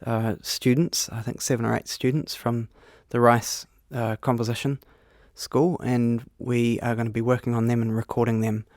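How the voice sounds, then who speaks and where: A man's voice: mid-range voice, level tone, moderate pace, hardly any pauses, a little mellow, no room sound, clean studio sound. Easygoing New man, cellist guest. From New Zealand. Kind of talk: relaxed interview answers. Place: radio studio.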